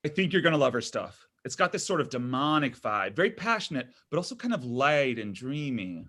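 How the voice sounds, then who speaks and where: A man's voice: mid-range voice, big pitch swings, a little fast, a bit bright. An actor reading a needy, insecure boyfriend in his thirties in a conversational, hesitant table-read style. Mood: eager, confiding. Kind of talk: comedy pilot table read.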